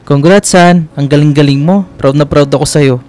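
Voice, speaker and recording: A man's voice: a little high, steady tone, normal speed, darkish tone, lightly acted. A man playing a devoted, sweet and teasing young boyfriend in a radio romance drama, with a Filipino accent and a tender, coaxing manner. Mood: tender, earnest.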